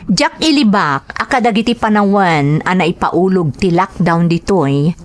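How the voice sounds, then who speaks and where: A woman with an Ilocano accent hosting a drama show, reading greetings and a listener's letter. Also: fairly low pitch. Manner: slow, expressive reading. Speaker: drama host and narrator.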